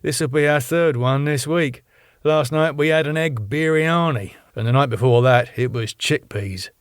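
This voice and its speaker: A man's voice: fairly high pitch, moderate pace, clean tone, no room sound, studio quality, natural voice. A man reading the part of a brash, streetwise tour manager in his thirties, chatty and matey. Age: 30s.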